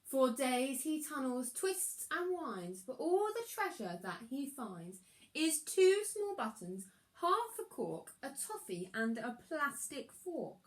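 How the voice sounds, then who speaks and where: A woman reading a children's rhyming storybook aloud as a teacher would, with expressive, sing-song, deliberate delivery. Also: high voice.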